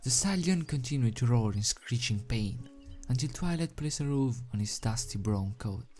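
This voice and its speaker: A man's voice: medium pitch, expressive, moderate pace, darkish tone, faint music behind. A man reading a story aloud as an amateur narrator, dramatic in delivery and sometimes stumbling over the script. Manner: stumbles over words and rereads phrases. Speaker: amateur fan fiction reader.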